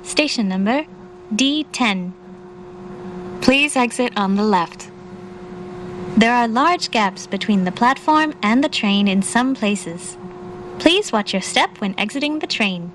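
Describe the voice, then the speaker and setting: Very slow, measured female train announcer. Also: on the high side, animated, a bit bright. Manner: formal, measured, carefully enunciated. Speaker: English-language train announcer. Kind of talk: recorded in-car station announcement. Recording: faint train noise. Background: train car ambience.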